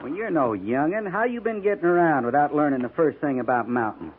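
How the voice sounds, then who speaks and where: An actor playing a blunt, grumbling cavalry sergeant with a rustic way of talking in a 1950s radio western. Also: male voice, fairly high pitch, lively intonation, brisk, noisy background, close to an everyday voice. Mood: exasperated. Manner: rustic, colloquial. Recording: tinny 1950s radio broadcast recording.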